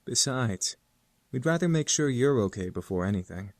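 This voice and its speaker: A man's voice: medium pitch, animated, moderate pace, natural voice. Man playing a polite, caring young butler. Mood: polite concern.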